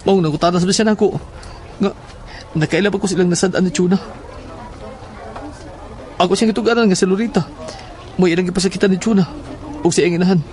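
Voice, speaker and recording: Casting: male actor as troubled schoolboy in horror audio drama, high-pitched, Cebuano accent, confiding. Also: fairly even intonation, fast talker, pauses often, a little mellow, a little hiss or hum, natural voice.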